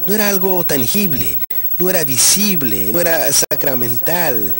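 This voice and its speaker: A man's voice: on the high side, expressive, unhurried, rough recording. A man from Texas, a guest in an interview, telling his own faith story in an earnest, reflective way with frequent hesitations. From Texas, USA. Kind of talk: personal faith testimony in conversation.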